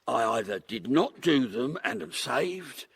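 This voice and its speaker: An actor playing a cunning, murderous madman in a period audio drama, brooding and desperate, with a rough voice. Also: mid-range voice, sing-song, measured pace, fairly bright tone, dry recording, full character voice. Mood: defiant, crazed.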